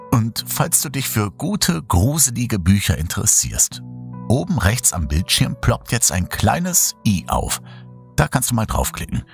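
A man reading a horror story aloud in slow, hushed, dramatic narration. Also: lowish voice, creaky. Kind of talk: scripted horror audiobook narration.

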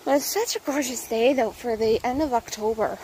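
A woman's voice: a little high, a little fast, dark tone, a little hiss or hum, poor audio. A young woman chatting casually while walking outdoors, filming herself on a phone at arm's length, a little out of breath. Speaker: vlogger. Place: outdoors on a suburban path. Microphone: phone held at arm's length.

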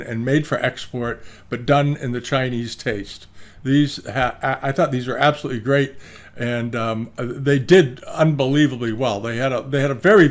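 Older male host, relaxed chatty auction talk, meandering with fillers, desk webcam recording. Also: medium pitch. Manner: rambling, many fillers and restarts. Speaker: antiques auction commentator. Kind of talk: casual video commentary. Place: indoor room, screen-share with webcam inset.

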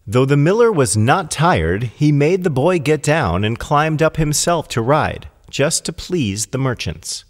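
A male narrator reads a storybook tale with lively, varied intonation. His delivery is deliberate and expressive, as in scripted narration. The voice rings with a long, hall-like reverb. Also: fairly high pitch.

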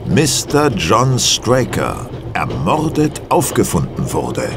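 A man's voice: on the low side, wildly swooping pitch, slow, music in the background, exaggerated voice. An actor playing a shrewd, self-assured male detective, explaining precisely with dry superiority in a radio play. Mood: matter-of-fact. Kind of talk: German radio play dialogue. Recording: loud train and vehicle noise behind.

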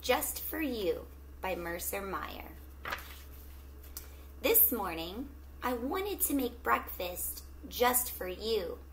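A middle-aged woman reads a children's book like a teacher in front of a class. She takes her time, stretching words expressively and putting questions to the kids. Her pitch is average, and there is light background noise from a home webcam recording. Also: rough recording. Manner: expressive, drawn-out storytelling with gentle questions to children. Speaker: teacher reading aloud to children. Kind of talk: children's picture book read-aloud with asides to young listeners. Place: small indoor room at home with plants.

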